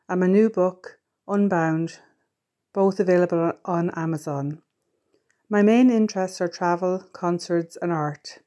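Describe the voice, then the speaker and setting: A woman, a poet, introducing herself and then reciting her own poem in a slow, deliberate way. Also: on the low side, dark tone. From Ireland. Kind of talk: poetry reading.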